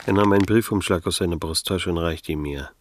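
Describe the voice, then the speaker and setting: A man's voice: low voice, a little fast, a little hiss or hum, lightly acted. An actor playing a disillusioned, wry male private detective in a noir radio drama, speaking in a dry, laconic manner. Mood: wry, reflective. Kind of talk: noir radio drama, first-person narration.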